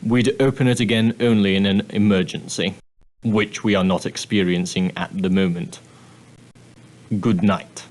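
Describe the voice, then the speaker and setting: A prim and proper male steward of an ocean liner, acted by a man, speaks in a fairly low voice. He is formal and clipped, with a dry, condescending edge beneath the courtesy. It is scripted character dialogue for an adventure game. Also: steady tone, moderate pace, lightly acted, poor audio. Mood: prim, sardonic. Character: prim, straight-laced male ship's steward, dryly sardonic.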